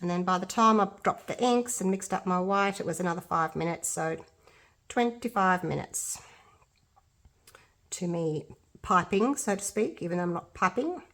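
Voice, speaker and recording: A woman's voice: on the low side, normal speed, a bit bright. A middle-aged Australian woman talks through a craft demonstration in an easy, chatty way. Her nose is blocked up, so her voice sounds congested, and she thinks aloud and pokes fun at herself as she goes. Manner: chatty, self-deprecating, thinking aloud while working. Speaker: resin art tutor. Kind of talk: casual craft tutorial.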